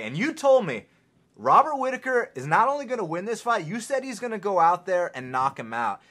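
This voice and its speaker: A man hosting a podcast interview, hyping up his guest with energetic questions. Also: on the high side. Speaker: podcast host.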